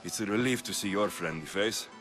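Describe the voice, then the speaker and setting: Actor as bright, forceful low-voiced male mercenary. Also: fairly quick, soft background music, close to an everyday voice. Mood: uneasy. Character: guarded young male Greek mercenary, dry and blunt. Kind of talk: video game cutscene acting.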